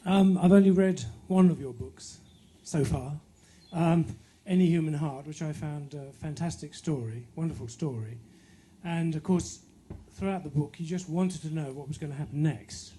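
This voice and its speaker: A man's voice: a little high, normal speed, warm tone, a little hiss or hum. A man in the audience at a live talk, earnestly asking the author a question into a handheld microphone. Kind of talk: question from the floor. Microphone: handheld microphone passed around.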